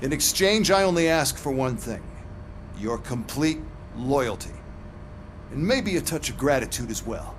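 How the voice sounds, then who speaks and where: A man's voice: medium pitch, expressive, measured pace, natural voice. Man acting smug manipulative superior, mid-range voice, sarcastic, talking down, faint background noise, video game. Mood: smug, coaxing. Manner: condescending, sardonic. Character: smug, manipulative male police boss.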